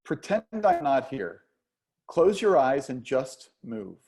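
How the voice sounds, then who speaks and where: A man's voice: medium pitch, big pitch swings, slow, darkish tone. A man reading a play as a charming, patient, flirtatious dance teacher who teases and encourages his pupil. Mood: gently coaxing. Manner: teasing, encouraging. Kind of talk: play reading, romantic comedy.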